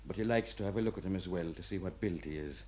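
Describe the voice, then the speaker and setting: An actor playing an older Irish prison warder, gruff yet fatherly, lecturing wryly in a radio play. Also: low voice, fast, a little mellow, a little hiss or hum, natural voice. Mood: grimly matter-of-fact.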